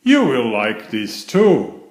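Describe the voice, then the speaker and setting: A man's voice: medium pitch, huge pitch swings, slow pace. A man voicing a cheerful, literal-minded housekeeper woman with a folksy, matter-of-fact way of talking, in a storybook read-aloud. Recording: roomy echo.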